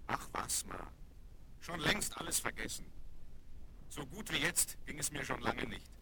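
An actor playing a famous young American aviator, modest and boyish, in a German children's radio play. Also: male voice, medium pitch, animated, unhurried, gravelly, bright, forceful tone, full character voice. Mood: excited, affectionate. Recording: noisy, crackly vinyl record transfer.

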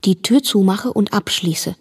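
An actress playing a dutiful, watchful young woman who narrates a radio drama in a husky voice. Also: medium pitch, a little slow, darkish tone. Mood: hushed, obedient. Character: dutiful, watchful girl confiding what she sees. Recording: music behind the voice.

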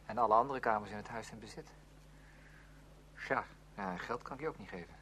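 A man's voice: on the low side, brisk, fairly bright tone, natural voice. An actor playing a young man, a sceptical but loyal friend, in conversational Dutch radio play style with a questioning manner. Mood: surprised, supportive. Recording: noisy old radio drama recording.

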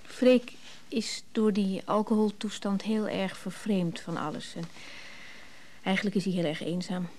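A woman's voice: medium pitch, steady tone, a little slow, darkish tone, slight background noise, lightly acted. An actress playing an earnest, admiring woman in a radio drama, with a natural, slightly hesitant delivery. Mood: concerned.

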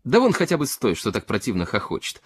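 Man acting a wistful, confiding little gentleman. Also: mid-range voice, huge pitch swings, quick pace, husky, dry recording. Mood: wistful, hesitant. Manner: hesitant, confiding, distinctly enunciated. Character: small melancholy gentleman, confiding, shy, eccentric.

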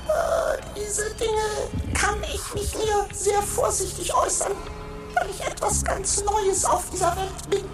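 A woman voicing a talking stone that is new to the world, speaking in a measured, musing way in a radio drama. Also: steady tone. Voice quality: very high, slow and deliberate. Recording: roomy studio with music underneath.

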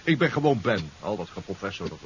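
A polite, fussy old-radio professor, a genial man. He repeats himself and stammers in an animated voice. The sound is dull with slight hiss. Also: sits low, quick pace. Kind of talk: 1970s Dutch radio drama acting. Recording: muffled 1970s radio recording, faint hiss.

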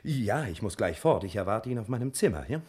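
An actor playing a self-satisfied private detective in a sixties radio drama, drawling with condescending politeness. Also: male voice, fairly low pitch, big pitch swings, a little fast, darkish tone, slightly rough. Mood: reassuring, boastful. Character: smug, suavely reassuring male private detective. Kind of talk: 1960s German radio drama acting.

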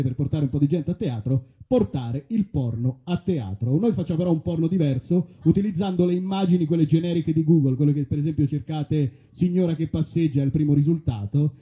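A man with a slightly high voice chats casually from a live stage as a comedy performer, rambling and deadpan. The sound is a little dull and lo-fi. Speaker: comedy performer introducing sketches.

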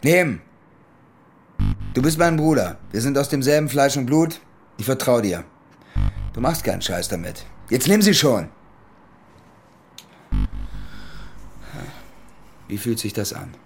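Radio drama: male actor as young soldier, domineering older brother, taunting, mid-pitched, highly animated delivery. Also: normal speed, long pauses, faint music behind, close to an everyday voice. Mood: menacing, commanding. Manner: commanding, taunting. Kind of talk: German radio play acting.

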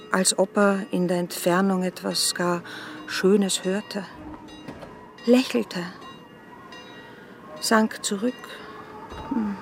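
An actress playing an old gardener's wife, simple, kindly and grieving, telling her story haltingly and from the heart in a radio play. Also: mid-range voice, gravelly. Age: older. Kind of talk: radio drama acting.

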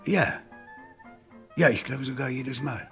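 An actor playing a nervous, hesitant diner witness being questioned in a vintage German radio crime drama. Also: male voice, medium pitch, takes it slowly, a bit bright, faint music behind. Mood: uneasy, evasive. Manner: hesitant, faltering.

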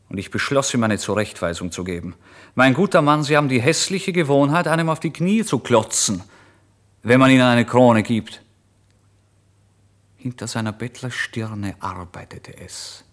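A man's voice: medium pitch, close to an everyday voice. An actor playing an impoverished, irritable and impulsive male writer, telling his story in a theatrical, emphatic radio monologue. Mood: indignant, then haughty, outraged. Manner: theatrical, emphatic, swinging between hushed and loud. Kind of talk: literary first-person radio monologue, dramatised narration.

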